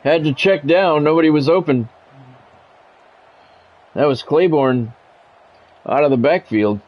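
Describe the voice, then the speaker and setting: A man's voice: a little high, unhurried, dull audio. A man streaming a sports game, mixing radio-style play-by-play with casual chat.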